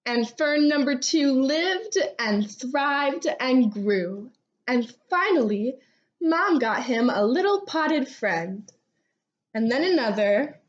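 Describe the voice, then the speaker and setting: A woman reading a children's storybook aloud expressively, with animated delivery and drawn-out phrase endings. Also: sits high. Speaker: read-aloud storybook reader. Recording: dull, lo-fi sound.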